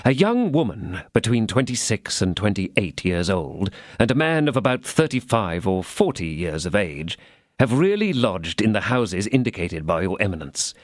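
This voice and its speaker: A loyal count reports to his master in a plain, respectful way, as a man plays him. The pitch moves freely and the recording is dry. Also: very animated, moderate pace, no echo. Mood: deferential. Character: loyal, businesslike male count and agent.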